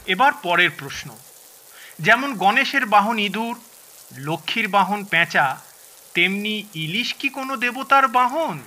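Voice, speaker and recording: An actor playing a playful Bengali quizmaster in a family audio drama, asking in a deliberate way. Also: male voice, high-pitched, animated, sharp tone, full character voice. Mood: quizzing.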